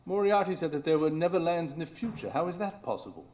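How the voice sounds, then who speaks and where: Detective, male actor, probing and resolute, lively intonation, live radio play. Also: fairly high pitch, quick pace. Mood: puzzled, intent. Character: Sherlock Holmes, inquisitive, reasoning, determined detective. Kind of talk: live radio drama acting. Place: improv theatre stage, live audience.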